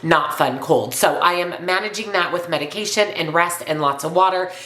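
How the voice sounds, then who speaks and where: A woman with a congested, slightly raspy voice from a cold, speaking earnestly and emphatically as a coach addressing viewers in an instructional vlog. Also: fairly low pitch, moderate pace, fairly bright tone, echoey room. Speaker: weight loss and nutrition coach presenting to viewers. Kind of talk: upbeat instructional vlog to camera.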